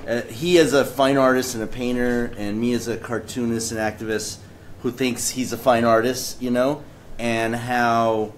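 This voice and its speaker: A man on a live panel who speaks in a relaxed, anecdotal way, with hesitations. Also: medium pitch, normal speed, slight background noise. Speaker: panelist, cartoonist. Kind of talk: casual panel talk. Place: event room at a museum.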